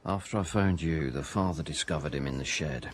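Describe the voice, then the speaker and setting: An actor playing a sombre, shaken junior police officer reporting to his chief in a radio drama, with a gravelly voice. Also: very deep voice, fairly quick.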